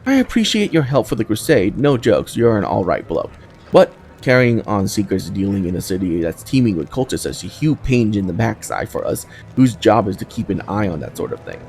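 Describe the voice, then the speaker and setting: A man's voice: lowish voice, very animated, a little fast, darkish tone, faint music behind. A man voicing a plucky, plain-spoken young woman soldier from a fantasy game, with a breezy, wry manner. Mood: frank, chatty.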